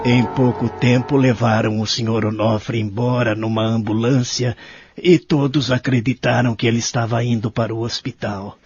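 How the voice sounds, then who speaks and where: A man's voice: mid-range voice, steady tone, moderate pace, darkish tone, breathy edge. A Brazilian man playing a lonely, remorseful elderly care-home resident who narrates his story in an audio drama, somber and reflective. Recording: dull lo-fi audio with music behind. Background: background music.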